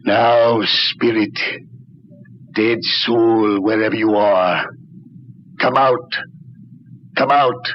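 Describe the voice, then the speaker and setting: An actor playing a crazed, sinister old doctor in old-time radio horror, theatrical and drawn-out, with a gravelly voice. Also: male voice, lowish voice, fairly even intonation. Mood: eerie, commanding. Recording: dull, noisy old radio recording.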